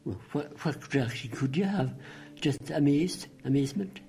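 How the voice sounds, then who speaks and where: A man in his late eighties with a Scottish Highland accent, recalling the past in conversation and repeating phrases for emphasis. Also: moderate pace, gravelly, darkish tone. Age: late 80s. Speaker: local resident interviewee. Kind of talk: recollection in conversation.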